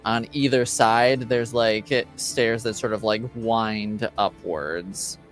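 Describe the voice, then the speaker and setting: A man working as game master, improvising scene descriptions in a halting, hedging way. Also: lowish voice, fairly even intonation, faint music behind. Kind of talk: improvised tabletop game narration.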